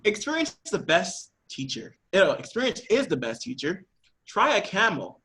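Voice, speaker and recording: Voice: medium pitch, big pitch swings, normal speed, fairly bright tone. A performer playing a persuasive 1940s radio advertisement announcer, with emphatic salesmanship. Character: persuasive old-time radio cigarette pitch announcer.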